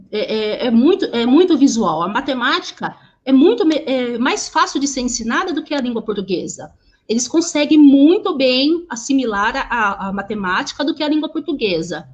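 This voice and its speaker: A woman interpreting sign language into speech over a video call, halting, with many restarts and repeated words. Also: sits high, sing-song, highs cut off. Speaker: sign language interpreter voicing a deaf teacher.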